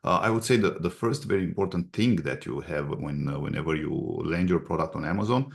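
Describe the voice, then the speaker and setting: A man from Romania with a Romanian accent, explaining advice as an interview guest in a halting, instructive way. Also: low-pitched, creaky. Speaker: guest expert, agency representative.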